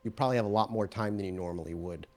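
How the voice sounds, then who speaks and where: A man's voice: on the low side, very fast, darkish tone. A man narrating a tabletop roleplaying game as game master, describing scenes directly to the players.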